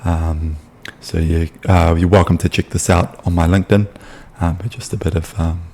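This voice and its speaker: Brief: young New Zealand man, deep voice, relaxed chatty explainer delivery. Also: normal speed. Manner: loose and chatty, with many ums and restarts. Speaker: sales consultant. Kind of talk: casual explainer video.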